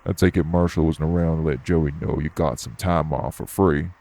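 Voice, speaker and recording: A man's voice: a bass voice, steady tone, normal speed, mellow. A man acting out a blunt, nonchalant nightclub worker in an audio roleplay. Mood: cool, dismissive.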